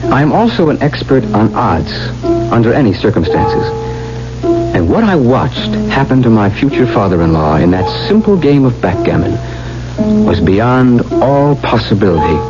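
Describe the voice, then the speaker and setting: A man's voice: mid-range voice, sing-song, normal speed, natural voice. A man acting as a precise, self-important young mathematician, narrating a 1970s radio drama formally. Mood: precise, astonished. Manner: pedantic, formal. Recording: muffled old radio, loud music behind.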